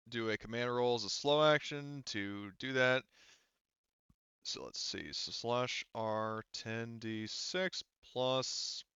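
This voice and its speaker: Low, smooth-voiced man running a tabletop game. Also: pushed and bright, dry recording, dull audio. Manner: hesitant, thinking aloud. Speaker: game master. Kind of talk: casual rules explanation at a tabletop game.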